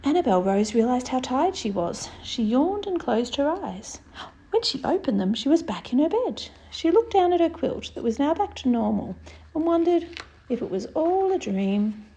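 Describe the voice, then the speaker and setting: A woman's voice: sits high, sing-song, normal speed, dark tone. A woman presenting story time, expressively reading a picture book aloud and speaking directly to young listeners. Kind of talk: read-aloud picture book narration for children.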